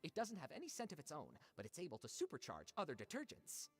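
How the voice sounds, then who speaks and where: An actress playing an exasperated, emphatic young worker in a video game. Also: female voice, sits low, quick pace, husky, long reverb tail, soft background music, full character voice. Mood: explaining. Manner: expressive, emphatic. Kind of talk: game voice acting.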